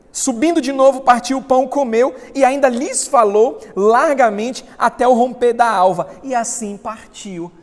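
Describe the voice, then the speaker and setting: A man from Salvador, preaching a sermon as pastor, rising emphatically when reading scripture. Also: very high-pitched. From Salvador, Bahia, Brazil. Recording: long hall reverb.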